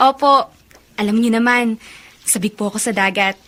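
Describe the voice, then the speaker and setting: An actress playing a sweet, affectionate young student in a Filipino radio drama, with a Filipino accent. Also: female voice, a little high, measured pace, pushed and bright. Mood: cheerful, affectionate.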